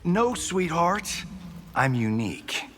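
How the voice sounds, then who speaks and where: Brash hollywood action star, super animated, highish voice, smug flirty game banter. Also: male voice, slow, a little hiss or hum, full character voice. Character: cocky, flirtatious male movie star fighter. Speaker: video game voice actor. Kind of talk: scripted fighting game banter.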